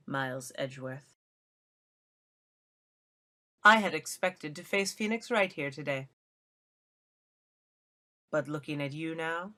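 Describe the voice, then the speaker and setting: Woman playing a haughty, low-voiced young prosecutor. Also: bright, forceful tone, full character voice. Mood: menacing. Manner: commanding, disdainful, formal. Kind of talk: game fan dub acting.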